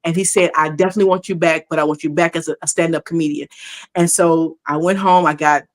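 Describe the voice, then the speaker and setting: Lively woman in her sixties telling stories. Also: sits low, fast. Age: 60s. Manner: animated, laughs often, acts out quoted voices. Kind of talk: casual storytelling chat.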